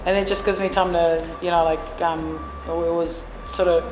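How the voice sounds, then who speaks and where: Earnest low-voiced woman in an echoey café. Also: flat delivery, normal speed. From New Zealand. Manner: earnest, many fillers and restarts. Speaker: café owner and fitness trainer. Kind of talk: casual interview answers. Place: café, indoors. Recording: echoey room with long reverb and music behind.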